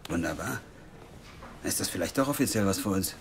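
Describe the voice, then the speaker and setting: An earnest journalist who keeps asking pointed questions. He sounds fairly low and steady. He turns defensive when he is teased. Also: fairly quick, background noise. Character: earnest, lovestruck male journalist, probing. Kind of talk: audio drama acting.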